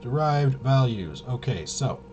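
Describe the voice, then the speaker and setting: A man's voice: mid-range voice, big pitch swings, measured pace, mellow, muffled recording, slight background noise. A man talking casually and thinking aloud through a game walkthrough, rambling and muttering to himself with playful little interjections. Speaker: host of a tabletop RPG channel.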